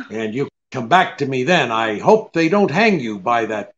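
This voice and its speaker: An actor playing a cynical, unyielding private detective in a 1940s-style radio drama, with terse, halting tough talk. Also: male voice, medium pitch, sing-song, a bit bright, lo-fi sound. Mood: bitter, firm.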